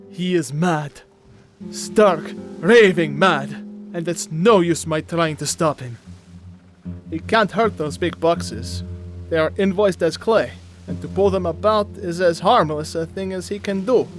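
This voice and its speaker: Schooner captain male actor, gothic radio drama narration: measured, grave, haunted by dread, slightly high pitch, scored with music. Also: lightly acted. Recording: music playing behind the voice.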